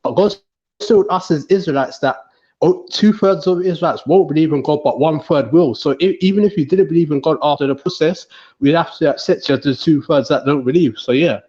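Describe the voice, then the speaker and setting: Guy from montserrat arguing on a voice chat panel, keeps restarting, kinda high voice, dull lo-fi sound. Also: fairly quick, warm tone. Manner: repetitive, restarts often, preachy. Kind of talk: online panel debate.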